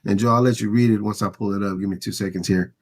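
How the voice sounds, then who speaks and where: A man's voice: on the low side, fairly even intonation, fast, a little hiss or hum. A man co-hosting a casual sports talk podcast, recounting and speculating in a loose, conversational way. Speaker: podcast host.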